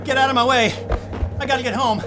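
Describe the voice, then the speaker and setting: A man's voice: very high-pitched, steady tone, fast, music in the background. An actor playing a stressed married insurance salesman who is coming apart in terror, in an audio drama. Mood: panicked. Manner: jittery, muttering to himself, exclaiming.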